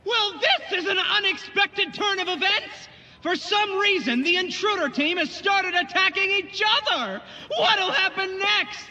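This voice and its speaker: Very high-pitched, bombastic match announcer. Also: male voice, unhurried, slight background noise, heavily acted. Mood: excited. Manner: bombastic, shouted delivery. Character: excitable male tournament announcer calling a wild match. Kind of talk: play-by-play commentary in anime dub.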